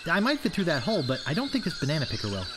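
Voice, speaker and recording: An actor playing a naive, wry young wannabe pirate in a video game, earnest in delivery. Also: male voice, on the high side, huge pitch swings, very fast. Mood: wry, puzzled. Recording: clear background ambience noise.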